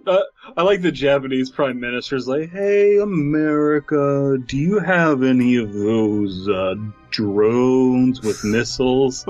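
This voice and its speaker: A man's voice: medium pitch, takes it slowly, hardly any pauses, a little mellow. A man riffing casually and comically as a podcast host. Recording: slightly muffled, music behind.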